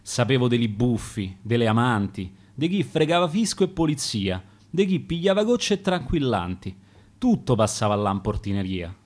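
A man's voice: mid-range voice, very animated, normal speed, a little mellow, close to an everyday voice. A man with a Roman accent voicing a dead, nosy female concierge who gossips in rhymed dialect verse. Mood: gossipy. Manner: rhymed sonnet delivery in dialect.

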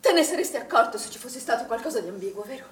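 An actress playing an anguished, doubt-ridden middle-aged woman in charge, speaking in an agitated, halting stage-drama style and repeating her phrases. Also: fairly high pitch, animated, natural voice. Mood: insistent, probing. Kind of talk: stage drama acting, emotional monologue. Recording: roomy, distant theatre recording, faint noise.

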